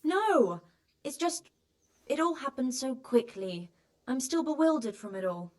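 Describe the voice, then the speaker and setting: An actress playing a flustered peasant farm wife in a video game, dodging questions about a bandit raid. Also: female voice, high voice, animated, unhurried. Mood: evasive. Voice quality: evasive, flustered. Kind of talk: video game cutscene acting.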